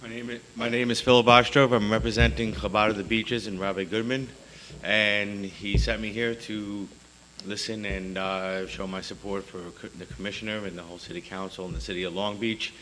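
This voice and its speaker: A man speaks at public comment in a halting way, repeating words. His voice is low, flat and bright. It rings in a reverberant hall with a faint hum. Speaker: head of security for a rabbi.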